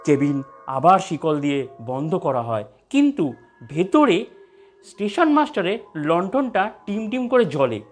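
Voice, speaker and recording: Lively horror story narrator over music. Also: mid-range voice, a little slow.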